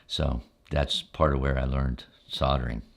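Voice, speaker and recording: An older man with an exceptionally deep, low voice tells stories from memory for ASMR. He is relaxed and unscripted, taking his time and often pausing partway through a sentence before carrying on. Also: vocal fry. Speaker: ASMR storyteller, channel host.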